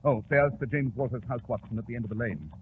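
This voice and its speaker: A man playing a brisk, confident detective in a 1940s radio drama, speaking clipped, formal, theatrical English. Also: medium pitch, natural voice. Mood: musing, assured. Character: brisk, confident male detective, incisive and commanding. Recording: noisy, tinny 1940s radio recording.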